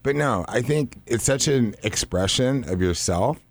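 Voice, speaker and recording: A man hosting a radio talk show, chatty and easygoing, with a raspy voice today. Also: mid-range voice, lively intonation, measured pace, dry recording.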